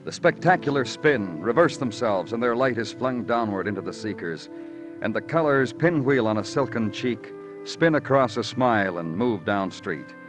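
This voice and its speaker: An actor playing a world-weary police detective in a vintage radio crime drama, speaking in a deliberate, brooding way. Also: male voice, mid-range voice, lively intonation, music in the background, natural voice. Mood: brooding, wistful. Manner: poetic, deliberate, terse in dialogue. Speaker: lead actor and narrator. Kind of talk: 1940s-50s radio crime drama narration and acting.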